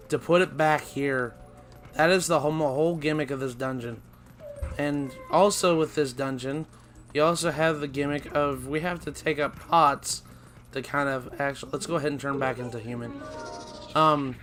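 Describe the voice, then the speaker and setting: Hoarse man chatting over gameplay. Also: on the high side, fairly bright tone, a little hiss or hum. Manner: rambling, self-correcting, chatty. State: losing his voice, raspy. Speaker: let's play host. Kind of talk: casual gameplay commentary.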